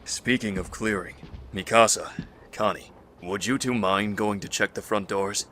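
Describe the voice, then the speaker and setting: Deep, clear-edged calm male leader acted by a man. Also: a little slow, slight background noise. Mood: concerned, measured. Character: calm, authoritative male doctor leading zombie-apocalypse survivors. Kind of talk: fan audio drama, anime parody.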